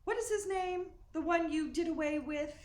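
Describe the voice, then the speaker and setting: A woman's voice: extremely high pitch, normal speed. A woman playing a demure, anxious, scheming femme fatale in a radio play, with a theatrical, hesitant, pleading manner. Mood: fearful, needling. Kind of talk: radio play acting in 1940s noir manner. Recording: roomy, echoey sound with faint noise.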